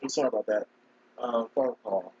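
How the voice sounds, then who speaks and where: Hoarse man with a cold, clearing his throat. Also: medium pitch, a little fast, mellow, dull audio. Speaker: gaming streamer. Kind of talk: casual live-stream chat.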